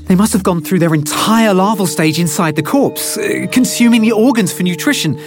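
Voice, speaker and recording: An actor playing a worried, excitable husband and scientist in an audio drama, stammering with urgency. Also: male voice, high-pitched, a little fast, music in the background. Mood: urgent, horrified.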